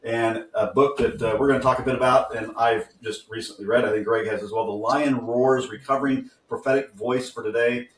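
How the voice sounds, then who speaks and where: A man's voice: medium pitch, fairly even intonation, a little fast, hardly any pauses. Easygoing man in a roomy room, distant mic. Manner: easygoing and rambling, with frequent fillers and self-corrections. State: groggy. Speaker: podcast co-host. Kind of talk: casual interview talk.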